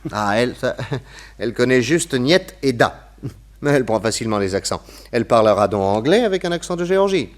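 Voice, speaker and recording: An actor playing a shrewd, self-assured detective in a French radio drama, explaining things with theatrical assurance. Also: male voice, medium pitch, animated, fairly quick, natural voice. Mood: confident, amused. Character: shrewd, self-assured male detective planning his disguise.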